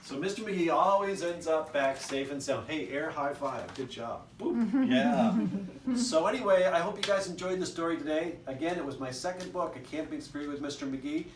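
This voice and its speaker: A man with a fairly high voice reads a picture book to children. His delivery is very animated, swinging up and down, with chatty little asides. There is some faint noise in the recording. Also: normal speed. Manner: expressive, with chatty asides to young listeners. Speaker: children's author reading aloud.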